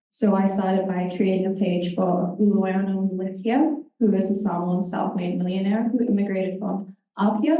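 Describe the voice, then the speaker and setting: Young New woman, earnest halting presenter, dark mellow tone, heard from afar in a roomy hall. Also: mid-range voice. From New Zealand. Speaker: intern presenter. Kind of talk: conference presentation. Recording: roomy hall, distant mic.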